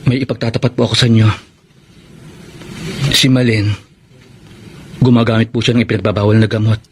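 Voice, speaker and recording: A man's voice: lowish voice, little pitch movement, fairly quick, close to an everyday voice. An actor playing a betrayed husband and father in a Filipino radio drama. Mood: worried, apologetic. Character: angry betrayed husband and father, polite to elders. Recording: noisy radio drama with loud background sounds.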